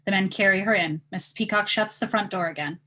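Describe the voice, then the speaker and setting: A woman's voice: mid-range voice, quick pace, bright, forceful tone. A woman reading out stage directions as scripted narration at a live table read.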